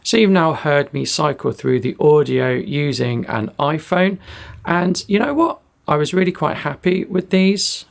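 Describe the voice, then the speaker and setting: A man's voice: on the high side, lively intonation, a little slow, vocal fry. A British man giving a casual spoken product review of a gadget.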